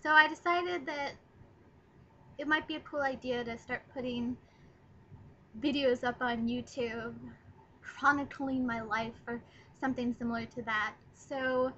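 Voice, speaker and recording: A woman's voice: sits high, steady tone, a little slow, pauses often, a bit bright, muffled recording, slight background noise. A nineteen-year-old woman chatting in a rambling, casual first video blog, recorded on her laptop's webcam mic. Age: 19, young adult. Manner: chatty, rambling, lots of ums. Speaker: vlogger.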